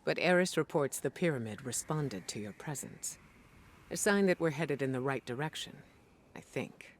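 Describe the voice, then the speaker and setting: An actress playing a composed, scholarly female spymaster in a video game, speaking in a measured, deliberate, grave way. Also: a bass voice, expressive, a little hiss or hum. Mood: measured, cautious. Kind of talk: scripted video game dialogue. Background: background music.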